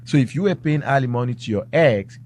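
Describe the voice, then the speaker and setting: A man's voice: mid-range voice, expressive, a little fast, darkish tone, a little hiss or hum. A man as a tax and finance guest, patiently walking through examples step by step in an interview. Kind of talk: explanatory interview talk.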